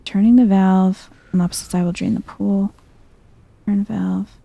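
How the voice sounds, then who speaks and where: A soft-spoken woman giving chill, hushed ASMR commentary while thinking aloud through a video game. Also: mid-range voice, little pitch movement, moderate pace, gravelly, no room sound.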